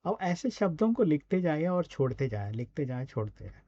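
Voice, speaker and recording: A man's voice: a little high, sing-song, a little fast, mellow, no room sound, highs cut off. A man with an Indian accent giving a conversational, instructive lesson in a presenter's role, often adding short check-in tags. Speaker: radio presenter giving a speaking and writing lesson. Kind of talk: conversational teaching talk.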